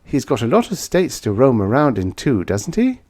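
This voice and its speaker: A man's voice: medium pitch, huge pitch swings, normal speed, darkish tone, voice pushed up. A man voicing a frightened young woman in a bar, speaking in a raised voice with anxious questions. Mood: doubtful.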